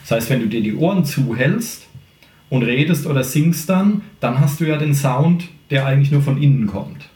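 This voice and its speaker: A man with a mid-pitched voice hosts a relaxed group podcast. He explains things colloquially, with a joking streak, like a singing teacher. The room is audibly echoey. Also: moderate pace. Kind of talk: casual podcast group chat. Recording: roomy, echoey room sound.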